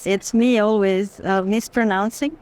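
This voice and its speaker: A woman's voice: medium pitch, fairly even intonation, measured pace, a little mellow. Woman thoughtfully explaining art on a walk. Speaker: art curator guiding a walk through a fair. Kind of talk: unscripted walking conversation about art. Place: busy art fair hall, walking.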